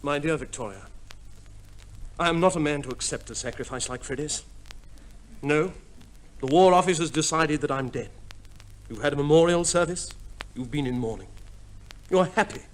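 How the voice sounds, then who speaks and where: An actor playing a pompous, sardonic soldier husband in an old radio comedy play, with emphatic delivery and dry retorts. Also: male voice, fairly high pitch, moderate pace, pauses often, lightly acted. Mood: mock-noble. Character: Bill, blustering, sardonic returned soldier husband.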